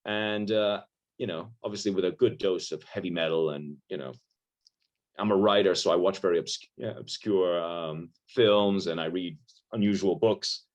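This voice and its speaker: A filmmaker from Ireland with an American-sounding accent, chatting casually in an interview over a video call, rambling with lots of restarts. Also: male voice, sits low, steady tone, moderate pace, darkish tone. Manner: rambling, frequent restarts and fillers, self-deprecating.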